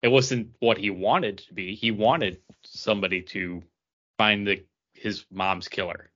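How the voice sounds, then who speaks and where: A man's voice: on the low side, a little slow, fairly bright tone, dull audio. A man in a casual podcast discussion, tentatively offering his own interpretation as a co-host. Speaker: comic book podcast co-host. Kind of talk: casual book discussion chat.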